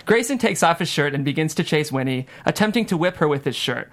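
Narrator: woman, rapid pace, scripted screenplay directions. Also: low-pitched, animated.

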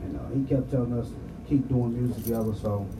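A man musician talking quietly and laid-back in an interview, recorded from a distance with noise behind him. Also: mid-range voice, fairly even intonation, fast talker. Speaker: singer and rapper. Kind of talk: casual interview answers. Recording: distant mic, clear background noise.

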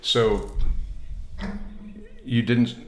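A man interviewing, asking gentle, brief questions. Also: medium pitch, little pitch movement, very slow, slight background noise. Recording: echoey room.

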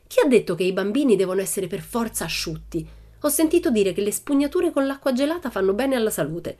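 A woman's voice: mid-range voice, very animated, fast talker, a little mellow, pitched above the performer's own voice. A woman voicing a bold, cheerful little red-haired girl who tells breezy tall tales in a storybook reading.

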